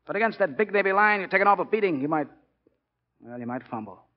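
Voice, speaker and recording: A man's voice: high-pitched, lively intonation, fast. An actor playing a stubborn, fatherly college football coach in his forties in a 1940s radio drama, speaking plainly and with measure. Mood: kindly, frank. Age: 40s. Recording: dull, band-limited 1940s radio recording.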